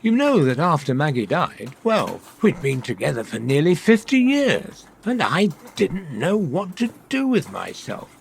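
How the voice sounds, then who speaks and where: Man acting creaky, high-voiced elderly widower. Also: wildly swooping pitch, a little slow, hardly any pauses, a little hiss or hum, exaggerated voice. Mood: earnest, grieving. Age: older, about 70s. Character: grieving, trusting elderly widower shopkeeper. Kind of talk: old-time radio comedy acting.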